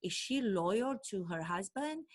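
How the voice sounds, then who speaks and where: A woman from Romania, a native Romanian speaker, tells a personal story straight to camera. Her voice sits at an ordinary middle pitch. She is lively and acts out her own inner thoughts as she goes. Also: unhurried. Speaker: actor and acting coach.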